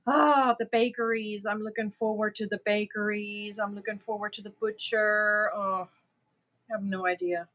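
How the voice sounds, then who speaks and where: Bright-voiced woman chatting on stream. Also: medium pitch, normal speed. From Germany. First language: German. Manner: chatty and animated, laughs easily. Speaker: crochet livestream host. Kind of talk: casual livestream chat answering viewer comments.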